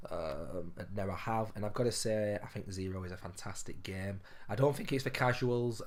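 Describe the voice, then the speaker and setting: Guy, gaming vlogger, very low gruff voice, hoarse from a cold, chatty. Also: slight background noise. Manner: chatty, addresses viewers as ladies and gentlemen. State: hoarse, losing his voice. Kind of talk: casual game pickups vlog.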